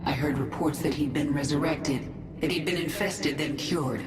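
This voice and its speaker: Woman playing a low-voiced, commanding alien swarm queen. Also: normal speed, loud music behind the voice, lightly acted. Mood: curious, probing. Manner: stern, commanding, resolute. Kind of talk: video game cinematic acting. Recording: echoing, distant, clearly noisy game audio.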